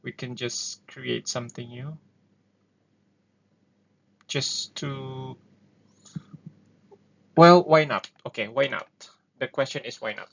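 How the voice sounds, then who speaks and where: A man's voice: medium pitch, slow, vocal fry, pauses often, fairly bright tone. A man with an Indonesian accent who speaks English as a second language. He talks through ideas casually and hesitantly, with many fillers, as the host of an explainer. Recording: dull lo-fi recording.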